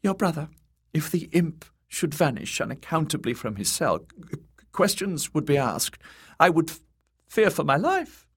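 A man voicing a frightened, simpering male eunuch spymaster who pants and fawns in high, breathy tones. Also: sing-song, a little slow, a bit bright, heavily acted. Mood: pleading. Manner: fluttery, fawning, affected courtesy.

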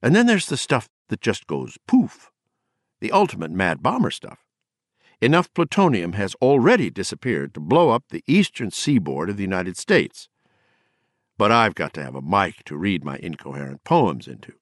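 A man's voice: low voice, big pitch swings, normal speed, no echo, clean studio sound. A man voicing a drunk, bitter and eloquent poet who rants mockingly with a rising voice. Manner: mocking, sardonic, raising his voice.

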